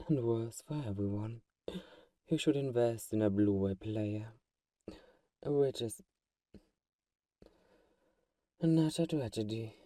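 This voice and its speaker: A man playing a doting but secretly manipulative vampire friend in an ASMR roleplay, soft-spoken and fussing, with a mellow, dark tone. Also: lowish voice, no room sound, distant mic, lightly acted. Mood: chatty, wry.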